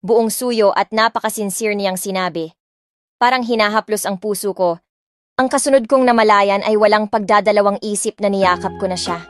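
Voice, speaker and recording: A young woman narrating a first-person romance audiobook, expressive and switching between two languages. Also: medium pitch, moderate pace, no echo, clean studio sound.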